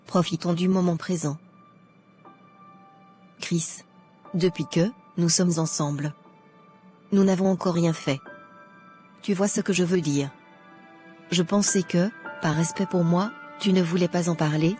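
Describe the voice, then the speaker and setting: Woman doing pushy young girlfriend in a cartoon, coaxing, soft music behind. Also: on the low side, lightly acted. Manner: insistent, coaxing.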